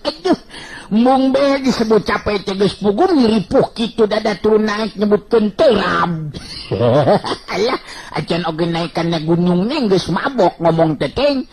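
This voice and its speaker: A man voicing a cheeky young martial hero in a radio story, teasing and bantering excitably. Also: high voice, unhurried, vocal fry, a little hiss or hum, pitched above the performer's own voice, full character voice, poor audio.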